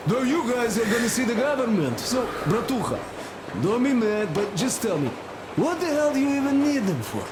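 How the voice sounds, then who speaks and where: An actor playing a plain-spoken, loyal, wary wanderer in a game, with a rambling, halting, colloquial way of talking. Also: male voice, very high-pitched, sing-song. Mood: opinionated, chatty. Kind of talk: game cutscene dialogue. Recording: noisy, echoey, vehicle-like ambience behind.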